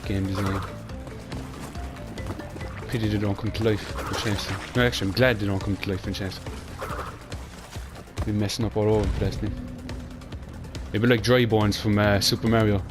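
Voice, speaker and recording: A young Irish man chatting quickly and rambling while he plays through a platform game. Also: fairly low pitch, steady tone. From Ireland. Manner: fast, rambling, chatty with Irish phrasing like "class". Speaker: gaming channel host. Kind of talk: casual gameplay commentary. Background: game music.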